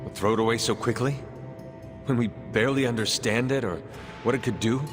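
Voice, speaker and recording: A man playing a solemn, determined warrior hero in a video game, with formal, measured speech. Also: medium pitch, natural voice. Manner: formal, measured, few contractions. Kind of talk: video game cutscene acting. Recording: long reverb, faint music.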